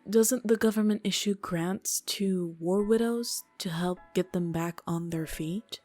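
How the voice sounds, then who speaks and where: Female doctor, played by an actress, game drama. Composed, probing, measured, slightly low voice, faint score behind. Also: warm tone, faint music behind, lightly acted. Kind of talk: game dub voice acting.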